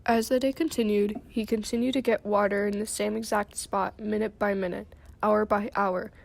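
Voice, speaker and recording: A woman's voice: mid-range voice, fairly even intonation, normal speed, gravelly. A young woman reading scripted story narration for an amateur radio drama. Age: young adult. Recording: noisy hall with long reverb.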